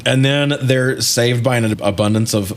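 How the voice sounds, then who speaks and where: A man's voice: mid-range voice, steady tone, moderate pace, a little hiss or hum. Wry, irreverent man recapping casually. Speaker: podcast co-host. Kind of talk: casual film recap banter.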